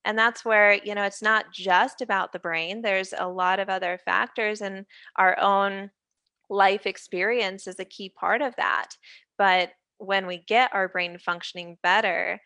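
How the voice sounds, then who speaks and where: A woman's voice: mid-range voice, fairly even intonation, measured pace, bright, forceful tone, no room sound. A woman guest expert explaining her work with enthusiasm in a casual interview. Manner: enthusiastic, laughs easily, uses fillers like "you know". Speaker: guest brain health expert.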